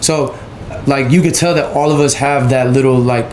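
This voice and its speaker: A man speaks in a fairly flat, even tone and rambles through his thoughts with plenty of fillers. He is a podcast guest. The recording carries a roomy echo. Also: medium pitch, moderate pace, slight background noise. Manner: rambling, many fillers and 'you feel me'. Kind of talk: casual chat. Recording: echoey room.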